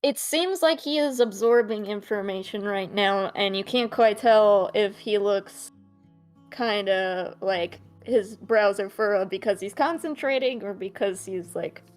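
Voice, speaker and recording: Mid-pitched woman running a tabletop game. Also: a little slow, faint music behind. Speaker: game master. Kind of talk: tabletop game narration and roleplay.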